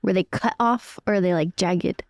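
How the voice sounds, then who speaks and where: A woman's voice: on the low side, normal speed, no echo, studio quality. A woman playing a tabletop roleplaying game, casually speaking in character and asking questions.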